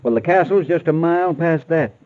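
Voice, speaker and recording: Small-town roadside proprietor played by a male actor, fairly high voice, guarded, folksy, ominous, old radio drama on a noisy, tinny recording. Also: brisk, lightly acted. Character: ominous, superstitious rural roadside proprietor, wary local man. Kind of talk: 1940s radio acting.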